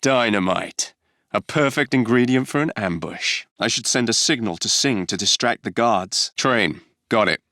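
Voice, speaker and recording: Brash young Victorian rogue, English accent, lively swooping pitch, very dry close sound, cheeky, theatrical, video game delivery. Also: male voice, normal speed, dry recording. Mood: wry, determined. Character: cocky young male Victorian London rogue, playful and brash.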